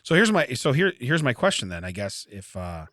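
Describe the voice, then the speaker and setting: A man's voice: medium pitch, very animated, fairly quick, a bit bright, no room sound, clean studio sound. A middle-aged man co-hosting a comedy podcast, rambling through odd facts in casual chat and repeating phrases for comic emphasis.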